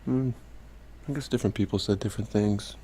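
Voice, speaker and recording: An actor playing an easygoing, teasing lover in an intimate audio drama, laconic and low-key. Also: male voice, fairly quick, dark tone, a little hiss or hum, natural voice. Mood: relaxed, teasing.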